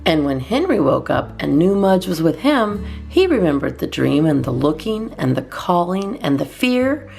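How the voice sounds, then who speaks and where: A woman reading a children's storybook aloud as a storytime host, expressively and in measured phrases with deliberate pauses. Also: lowish voice, sing-song, creaky, a little mellow, soft background music.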